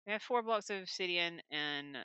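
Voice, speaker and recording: A woman's voice: lowish voice, moderate pace, pushed and bright, smooth, no echo, muffled recording. A woman casually commenting on her own gameplay at home, rambling and talking to herself as she plays. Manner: rambling, talks to herself while playing. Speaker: gaming channel host. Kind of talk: casual let's-play commentary. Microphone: desk microphone.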